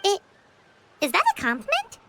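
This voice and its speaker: A woman's voice: very high voice, wildly swooping pitch, normal speed, noisy background, exaggerated voice. A woman voicing a small, childlike fairy companion in a video game, perky and easily indignant. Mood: pleased. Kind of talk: video game character acting. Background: game music.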